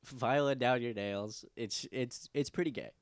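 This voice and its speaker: A man's voice: mid-range voice, measured pace, clear, resonant tone, dry recording, studio quality. Nasal, rambling man in his twenties. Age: 20s. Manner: rambling, laughing, swearing freely. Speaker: podcast co-host. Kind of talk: casual chat.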